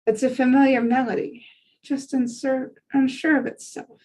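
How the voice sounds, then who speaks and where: A woman's voice: high-pitched, level tone, normal speed, a little mellow, poor audio. A woman reading screenplay scene descriptions aloud as a narrator at a table read.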